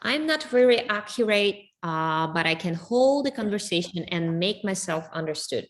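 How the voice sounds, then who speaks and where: A woman's voice: mid-range voice, expressive, moderate pace, slight background noise. A woman in a language class, reading her sentences aloud. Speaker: language student.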